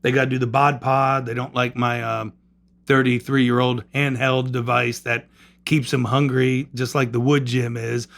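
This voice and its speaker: A man's voice: medium pitch, level tone, measured pace, creaky, fairly bright tone. A middle-aged man hosting a podcast from a gym, chatting loosely and joking with lots of fillers. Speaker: podcast host and trainer. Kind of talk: casual podcast chat.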